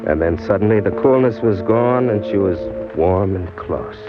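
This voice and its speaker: A man's voice: lowish voice, moderate pace, close to an everyday voice. A man acting a tough, slangy, wisecracking drifter who narrates his own crime story in an old-time radio drama. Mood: wistful. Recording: dull old radio recording with music.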